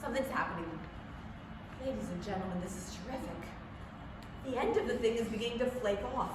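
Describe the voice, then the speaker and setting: A woman playing an excitable male radio news reporter in a staged 1930s radio drama, giving halting, dramatic eyewitness commentary. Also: mid-range voice, lightly acted. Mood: alarmed, awestruck. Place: staged reading in a public library. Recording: echoey library hall, far mic, noisy.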